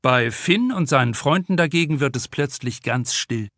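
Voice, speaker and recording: A man's voice: medium pitch, expressive, normal speed, fairly bright tone, no echo, clean studio sound. A man reading a crime novel aloud as a scripted audiobook narration. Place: dry studio.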